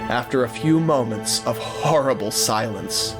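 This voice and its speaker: A man's voice: mid-range voice, unhurried, music in the background. A man narrating an audio drama as a scripted story, with theatrical, suspenseful phrasing.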